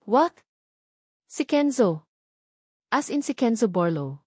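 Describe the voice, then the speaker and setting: A woman's voice: a little high, very animated, takes it slowly, dark tone, breathy edge, dry recording, studio quality, heavily acted. A woman narrator voicing an incredulous, teasing young woman friend in a storybook, exclaiming and questioning with a Filipino accent. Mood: shocked, prying.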